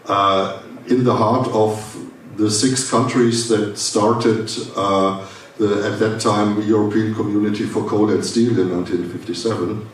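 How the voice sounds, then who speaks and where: German man speaking haltingly in an echoey hall. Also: fairly low pitch, fairly even intonation, recorded from a distance. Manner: halting, many "uh" fillers and restarts. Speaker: foundation director giving a welcome speech. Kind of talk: formal welcome speech at a conference. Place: conference hall. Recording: echoey hall, speaker well off the mic, faint hiss.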